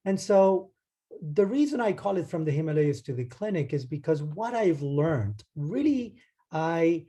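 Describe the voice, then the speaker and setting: A man from Argentina gives an academic talk over a video call. His voice is warm and mellow, pitched slightly high, and he speaks in a relaxed, hesitant way, often restarting phrases. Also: a little slow. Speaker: guest lecturer. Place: home or office, speaking into a computer.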